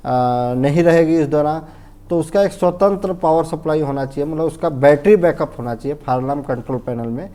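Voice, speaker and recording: A man's voice: fairly high pitch, fairly quick, warm tone. A man with an Indian accent giving an informal technical lesson as a fire safety instructor, explaining step by step, repeating himself and often checking that he is understood. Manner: didactic, repetitive, often checks understanding and asks himself questions.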